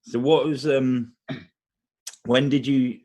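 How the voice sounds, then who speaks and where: A man's voice: medium pitch, steady tone, drawn-out words, a little mellow. A man hosting a casual podcast interview, asking questions in a matey, informal way. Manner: matey, calls his guest "mate". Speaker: podcast host.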